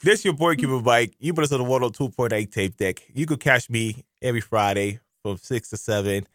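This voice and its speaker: A man's voice: medium pitch, fairly quick, no echo. A man hosting a casual radio talk show from a studio, playful and joking, cheering his guest on.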